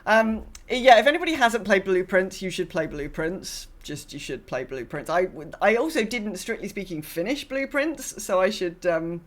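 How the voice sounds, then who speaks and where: A woman's voice: mid-range voice, sing-song, normal speed, hardly any pauses. A woman co-hosting a podcast in casual chat, rambling and often trailing off mid-thought. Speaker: podcast co-host.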